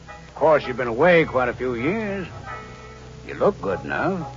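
Folksy small-town counterman, swooping pitch. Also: wildly swooping pitch. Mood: knowing, chummy. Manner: folksy, familiar, teasing drawn-out phrasing. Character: folksy, knowing small-town lunch wagon man. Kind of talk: 1940s radio thriller acting. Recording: dull vintage radio recording, faint music.

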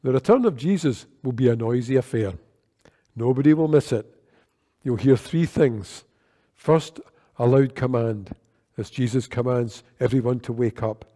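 A man's voice: mid-range voice, mellow. Older man preaching in a church. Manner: measured, earnest preaching with gestures. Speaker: worship leader. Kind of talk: sermon. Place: church sanctuary. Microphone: lectern microphone. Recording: long church reverb.

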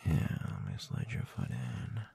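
A man doing intimate ASMR roleplay into a close binaural microphone, murmuring in a husky, breathy, often whispered voice with a slow, teasing, dominant manner. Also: rumbling low, expressive, dark tone, dry recording. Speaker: ASMR audio performer.

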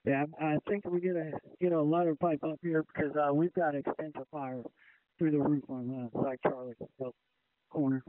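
A man's voice: a little high, fairly even intonation, normal speed, no echo. A man reporting as a fire division supervisor over a two-way radio. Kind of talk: fireground radio status reports. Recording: tinny band-limited two-way radio traffic.

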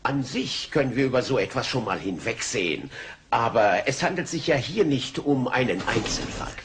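An actor playing a stiff, exasperated school principal in a cartoon, formal and officious. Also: male voice, mid-range voice, expressive, moderate pace, noisy background, close to an everyday voice. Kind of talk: dubbed cartoon acting.

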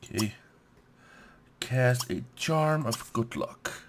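A man giving casual let's play commentary, haltingly thinking aloud and reading bits of game text. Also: mid-range voice, noisy background. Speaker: gaming let's play host. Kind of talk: casual gameplay commentary, muttering to himself.

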